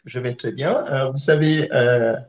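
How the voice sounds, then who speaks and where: A man co-hosts a live interview over a video call, with a mid-range voice. He speaks formally but with many drawn-out hesitations, and the sound is dull and lo-fi. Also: medium pitch, steady tone. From Gabon. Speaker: co-host.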